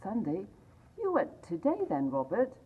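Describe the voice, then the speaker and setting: A woman voicing a weary, gentle mother of a poor family, speaking softly. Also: mid-range voice, huge pitch swings, unhurried, slightly rough, voice pushed up, full character voice. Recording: tinny phone-like sound.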